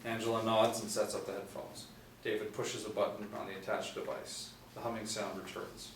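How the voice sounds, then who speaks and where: A middle-aged man narrates screenplay directions in a low voice. He is recorded in an echoey room, some distance from the mic, with faint noise behind him. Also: flat delivery, a little fast. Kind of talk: scripted narration at a screenplay table read. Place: small indoor room, seated group reading.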